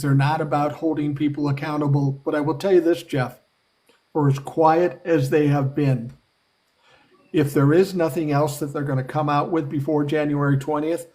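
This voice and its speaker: A man's voice: on the high side, little pitch movement, moderate pace, warm tone, low-quality recording. A man hosting a live podcast, talking casually and bluntly, with some profanity. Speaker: podcast host. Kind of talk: casual live-stream chat.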